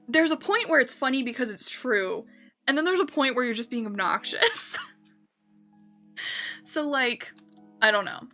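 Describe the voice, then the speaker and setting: A young woman with a slightly high, bright and forceful voice rambles casually as she streams, full of fillers and quick self-corrections. Soft music plays faintly behind her. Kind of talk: casual chat while playing a building game.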